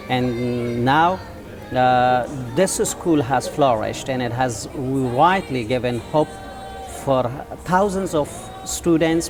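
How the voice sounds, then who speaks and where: A man in his forties with an Afghan accent, a teacher and school founder, answering interview questions in an earnest, halting way with many drawn-out fillers. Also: mid-range voice. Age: 40s.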